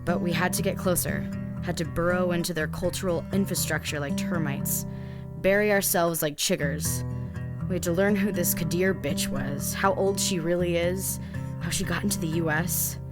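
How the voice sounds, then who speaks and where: Over music, an actress plays a captive young woman spy in an audio drama. Her voice is on the low side. She is sardonic and desperate, sighing between bitter, lovelorn thoughts. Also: normal speed, natural voice. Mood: bitter, wry. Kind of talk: dramatic first-person monologue in an audio drama. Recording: music behind the voice.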